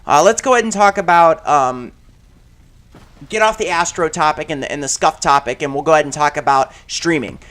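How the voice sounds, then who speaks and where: A man with a slightly high, raspy voice talks through a shooter game session in a loose, rambling way. He piles on fillers and restarts, and breaks off into loud shouts at the action. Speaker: gaming channel host. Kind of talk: casual commentary while playing a shooter game.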